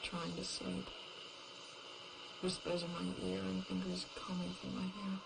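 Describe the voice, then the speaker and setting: A woman playing a haunted, bitter lone survivor in a horror audio drama, brooding and halting in her delivery. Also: on the low side, completely flat delivery, gravelly, background noise. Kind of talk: first-person horror audio drama monologue.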